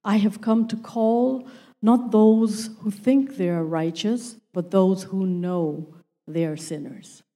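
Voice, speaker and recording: Woman reading the bible passage, english not her first language, slow and careful, warm mellow voice. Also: medium pitch, steady tone. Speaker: scripture reader. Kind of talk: reading scripture aloud.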